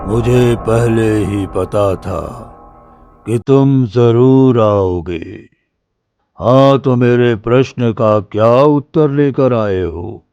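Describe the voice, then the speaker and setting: A man voicing a menacing male jungle jinn in a cartoon, speaking in a slow, gloating, threatening way. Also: mid-range voice, warm tone, dry recording, faint music behind.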